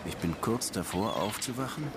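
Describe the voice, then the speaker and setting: Man playing weary male detective, low voice, laconic, brooding, radio crime play, noisy ambience behind. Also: lively intonation, normal speed, lightly acted. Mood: melancholy, reflective. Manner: laconic, probing, melancholy. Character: world-weary, brooding male police lieutenant. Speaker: actor in a radio crime drama. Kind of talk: naturalistic radio play acting.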